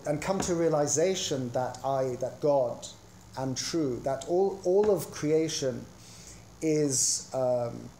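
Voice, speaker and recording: A man with an English accent gives an academic conference talk, speaking in a reflective, hesitant and halting way. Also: medium pitch, dark tone, a little hiss or hum. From England. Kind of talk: academic talk on religion, partly improvised. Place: indoor conference presentation.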